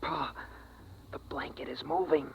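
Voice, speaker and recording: An actor playing an earnest, frightened young boy in a slow, suspenseful 1950s radio drama performance, on an old recording. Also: a little high, fairly even intonation. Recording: noisy, dull vintage radio recording.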